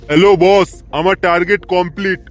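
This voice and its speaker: A high, drawling cartoon crook voiced by a man, greedy and sleazy. He gloats like a small-time kidnapper pleased with himself. Faint music plays behind the voice. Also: full character voice. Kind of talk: amateur comic cartoon dubbing.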